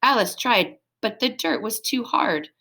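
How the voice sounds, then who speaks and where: A woman's voice: fairly high pitch, lively intonation, a little slow, gravelly, bright, forceful tone. A woman reading a children's story aloud as scripted narration, expressively, with dramatic emphasis. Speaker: storybook reader.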